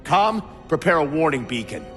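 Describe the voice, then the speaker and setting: An actor playing a stubborn, authoritarian starship captain in a sci-fi game, with a commanding, officious delivery. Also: male voice, fairly high pitch, big pitch swings, moderate pace, fairly bright tone. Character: stubborn, authoritarian male starship captain, imperious and short-tempered. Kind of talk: cinematic sci-fi game acting. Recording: reverberant hall, music behind.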